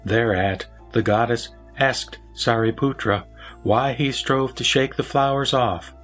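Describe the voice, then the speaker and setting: A man's voice: mid-range voice, measured pace, fairly bright tone, faint music behind. A man narrating scripture from a script in a solemn, formal manner.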